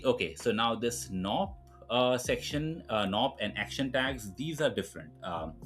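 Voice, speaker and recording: A man presenting a screen-recorded software tutorial, explaining things informally step by step. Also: mid-range voice.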